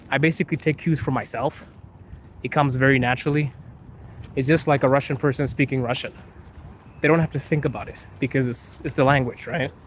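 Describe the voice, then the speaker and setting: A man's voice: medium pitch, slight background noise. A young man from Zimbabwe live-streaming from his phone on a wet street at night, chatting casually, laughing often and teasing his viewers. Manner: laid-back, laughs often, playful teasing. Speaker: livestream host. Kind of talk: casual live-stream chat, reading comments. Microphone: phone held while walking.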